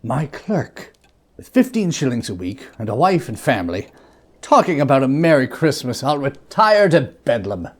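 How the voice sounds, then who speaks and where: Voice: mid-range voice, big pitch swings, moderate pace. A reader playing a bitter old male miser in a storybook reading, stern, scornful and grumbling. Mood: muttering. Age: older.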